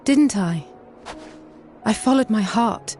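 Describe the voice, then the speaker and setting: A woman's voice: medium pitch, animated, slow, a little mellow, soft background music. A woman acting a kind-hearted, self-assured heroine in a video game cutscene, plainspoken and composed. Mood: calm conviction.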